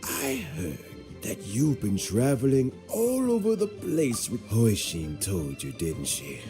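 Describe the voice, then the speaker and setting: Slow, genial old gentleman. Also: medium pitch, huge pitch swings, over music. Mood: weary, gentle. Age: older. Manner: courteous, deliberate, avuncular. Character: genial elderly gentleman, courteous, measured, weary with age. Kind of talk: video game voice acting.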